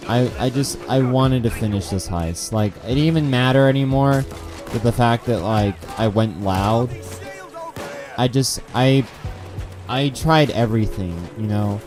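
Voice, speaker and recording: Rambling young man with a dry, cracking voice. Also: mid-range voice. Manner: rambling, frequent restarts and fillers, swears. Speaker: gaming video creator. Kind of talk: casual game review commentary.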